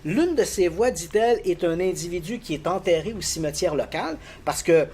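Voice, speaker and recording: A middle-aged man with a fairly high voice that swings up and down with his excitement. He recounts events as an animated, easy-chuckling storyteller in a conversation, sounding lively rather than formal. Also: normal speed, slight background noise. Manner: animated, lively storyteller with frequent pitch jumps. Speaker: guest expert on paranormal cases.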